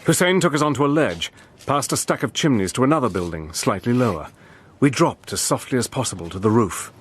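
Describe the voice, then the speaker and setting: An actor narrating and playing a brave, level-headed British army major, telling the story in a crisp, steady way. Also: male voice, mid-range voice, brisk. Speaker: narrator and hero. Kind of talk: radio play narration and acting.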